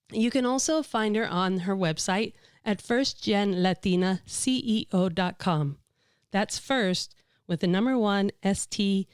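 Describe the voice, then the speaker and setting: A woman's voice: medium pitch, a little mellow, smooth, no room sound, studio quality. A woman hosting a podcast interview, upbeat and sprinkling Spanish words into her English. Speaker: podcast host.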